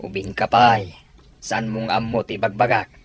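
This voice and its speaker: A man acting a gruff, suspicious, hard-drinking father who scolds his children in an Ilocano radio comedy drama. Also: fairly low pitch, little pitch movement, measured pace, heavy background noise, lightly acted. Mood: scolding.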